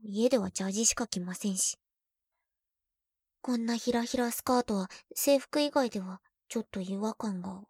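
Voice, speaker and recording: A young woman playing a teasing, shy girlfriend who is junior to the listener, in an ASMR roleplay, talking playfully and dropping into soft whispers. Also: medium pitch, normal speed, slightly rough, no echo, clean studio sound.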